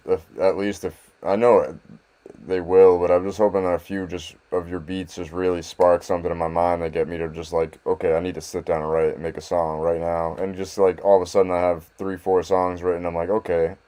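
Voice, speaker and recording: Deep smooth-voiced man chatting. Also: level tone, warm tone. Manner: halting, self-deprecating, laughs often. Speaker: guest rapper. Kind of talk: casual podcast chat.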